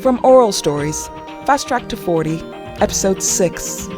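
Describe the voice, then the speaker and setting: A woman's voice: medium pitch, animated, unhurried, over music. A woman announcer reading a scripted podcast introduction.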